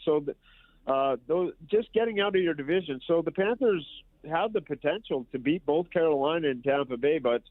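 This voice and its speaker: A stuffed-up man with a nasal voice calls in to talk hockey, circling back and restarting his sentences as he goes. His mid-pitched voice comes through a tinny phone line that sounds thin and cramped. The sound is dry, with no room around it. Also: no echo. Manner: rambling, repeats and restarts phrases. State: stuffed-up nose. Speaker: hockey radio analyst, guest. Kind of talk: casual sports talk over the phone.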